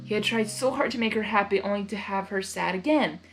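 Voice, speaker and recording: A woman reading fan fiction aloud in an expressive, giggly way, narrating and reacting as she goes. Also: mid-range voice, brisk, faint music behind, poor audio.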